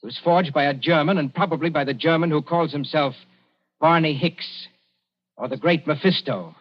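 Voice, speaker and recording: An actor playing a cool young colonial official, speaking in a dry, unhurried way in a 1940s radio drama. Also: male voice, on the high side, natural voice. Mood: calm. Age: young adult. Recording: dull 1940s radio broadcast.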